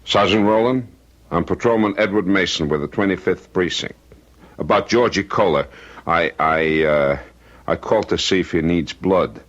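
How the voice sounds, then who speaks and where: An actor playing a weary, stubborn middle-aged policeman and husband in an old-time radio drama, plain-spoken, gravelly and husky. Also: deep voice, moderate pace. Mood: subdued, resolute. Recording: dull, lo-fi radio recording.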